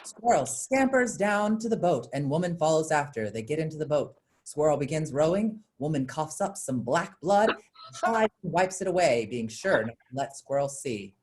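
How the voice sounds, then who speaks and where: A woman's voice: low-pitched, expressive, moderate pace, a little mellow. A woman narrator reading stage directions as scripted narration.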